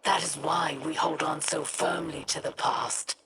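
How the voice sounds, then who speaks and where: Game cutscene role: actor as resolute alien, unhurried, portentous delivery, heavy noise behind. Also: medium pitch, steady tone, close to an everyday voice. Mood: solemn defiance. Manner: measured, portentous. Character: solemn, resolute alien speaker defying an enemy. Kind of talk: video game cutscene acting. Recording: very noisy cutscene sound bed.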